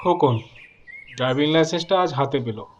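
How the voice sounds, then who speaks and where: A man narrating a horror story dramatically, in measured short phrases with pauses. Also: on the high side, dull audio, slight background noise.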